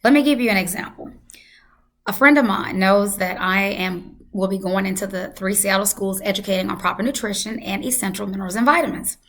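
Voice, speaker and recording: A woman hosting a health channel, hoarse from losing her voice, talking casually and emphatically to her viewers. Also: mid-range voice, a little fast, a bit bright, a little hiss or hum. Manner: emphatic, repeats for effect. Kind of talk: casual talk to camera.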